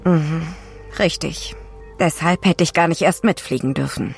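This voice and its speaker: A woman playing an earnest, guilt-laden astronaut in an audio drama, subdued but determined, with a husky voice. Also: low-pitched, unhurried, soft background music. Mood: guilty, resolved. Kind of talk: naturalistic audio drama dialogue.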